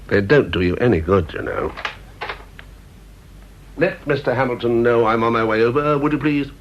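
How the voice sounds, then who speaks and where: An actor playing an ambitious, secretive government official in a radio drama, with a British accent and a clipped, self-important manner. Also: male voice, mid-range voice, normal speed, natural voice. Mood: brisk, disapproving. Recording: dull radio play recording, noisy.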